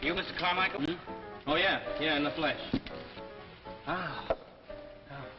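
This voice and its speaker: An actor playing a cocky, greedy, hard-drinking schemer with brash, slangy talk in a 1950s television drama. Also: male voice, a little high, unhurried, natural voice. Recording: dull vintage 1950s broadcast, reverberant, loud music.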